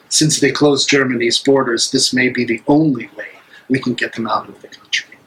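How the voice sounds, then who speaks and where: Man as fervent resistance plotter, very slow emphatic delivery, bright forceful tone, stage drama, faint noise. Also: mid-range voice, low-quality recording. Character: fervent, resolute male German resistance conspirator.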